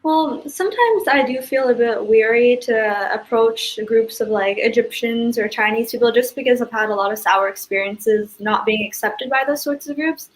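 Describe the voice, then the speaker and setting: A woman's voice: on the high side, moderate pace, hardly any pauses, poor audio. A young woman student on a panel, speaking thoughtfully and dropping "like" often. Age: young adult. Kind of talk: reflective casual talk.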